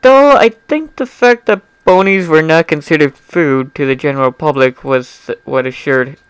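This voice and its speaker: A man reads fan fiction aloud in a smooth, fairly high voice, recorded close and dry. His delivery is informal, with casual asides, and he often trips over a word and fixes it. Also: normal speed, no room sound. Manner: informal, stumbles over words and corrects himself. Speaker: amateur fan fiction reader. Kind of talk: dramatic story reading with casual asides.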